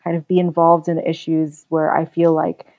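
Thoughtful young woman, low-pitched and dry. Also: completely flat delivery, vocal fry, dry recording, phone-quality sound. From United States. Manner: thoughtful, earnest, measured. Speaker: podcast guest. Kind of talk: casual interview.

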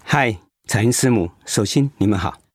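An actor playing a kind, steady male oncologist in a radio drama, explaining things in a reassuring way. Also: fairly low pitch, normal speed, husky, dry recording, studio quality. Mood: friendly, attentive. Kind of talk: Mandarin radio drama acting.

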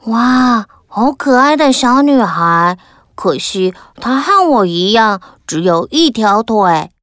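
A woman playing a bashful tin soldier toy, unhurried, soft and wistful, dry close sound, storybook. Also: on the high side, expressive, dry recording. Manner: timid, wistful musing. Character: shy one-legged male tin soldier toy. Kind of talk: children's audiobook character voice.

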